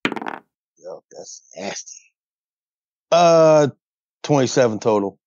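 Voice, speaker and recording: A man's voice: medium pitch, expressive, slow pace, a little mellow, no echo. A man playing a tabletop game, chatting casually with the group. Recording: dull lo-fi audio, music underneath. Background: background music.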